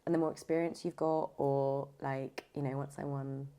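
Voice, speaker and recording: A woman with a low voice and plenty of vocal fry talks in a casual interview style. She speaks emphatically and often circles back to rephrase her point. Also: flat delivery, normal speed, dark tone. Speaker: voice actor, audiobook narrator, podcast guest.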